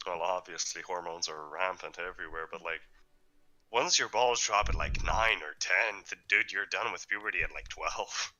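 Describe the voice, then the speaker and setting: Deep-voiced teen boy, Slovene accent. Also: normal speed, sharp tone, poor audio. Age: teens. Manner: rambling, jokey, says "like" and "dude" a lot. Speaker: podcast co-host. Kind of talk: casual chat.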